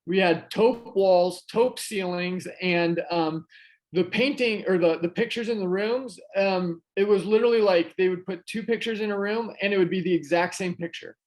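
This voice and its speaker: This is a man with a fairly high speaking voice, talking as a guest on an investing podcast. He tells his story in a loose, chatty way, full of "um"s and "you know"s and restarting his sentences. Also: moderate pace, darkish tone, rough recording. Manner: chatty, many fillers and restarts. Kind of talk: casual conversational storytelling.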